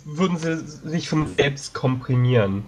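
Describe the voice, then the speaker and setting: A man playing a tabletop game, planning a battle while thinking aloud with many pauses and fillers. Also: fairly high pitch, lively intonation. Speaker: tabletop player.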